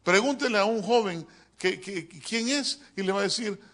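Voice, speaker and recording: A man preaching a sermon as a pastor, animated and joking, often addressing his listeners as brother. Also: sits high, normal speed. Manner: animated, joking, keeps calling listeners "hermano". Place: church.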